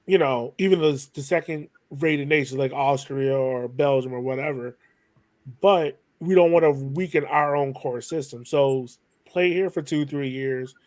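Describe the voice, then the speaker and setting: A man's voice: mid-range voice, a little slow, darkish tone, no room sound. A man hosting a podcast in a casual, informal and enthusiastic conversational manner. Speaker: podcast host. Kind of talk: casual chat.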